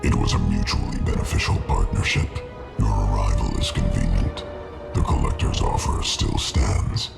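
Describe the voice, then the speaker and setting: Game villain casting: huge alien crime lord, abyssally deep growl, cold, imperious, deliberate, under loud music. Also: male voice, steady tone, exaggerated voice. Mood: cold menace. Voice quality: guttural, growling rasp. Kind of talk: video game villain acting.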